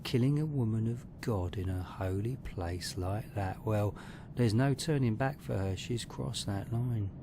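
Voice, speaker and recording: A man playing a grim storyteller in a horror audio drama, commenting darkly in an ominous, measured way. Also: on the low side, background noise.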